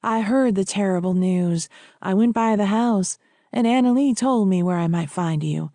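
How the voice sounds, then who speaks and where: Woman voicing a caring, mellow-voiced gentleman doctor. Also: medium pitch, normal speed, no echo, natural voice. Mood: sympathetic. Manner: solicitous, gentle. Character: caring, earnest male family doctor, old friend.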